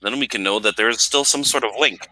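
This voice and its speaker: A man's voice: medium pitch, quick pace, bright, forceful tone, dry recording, low-quality recording. A man playing a tabletop game, earnestly laying out a plan to the table. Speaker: player.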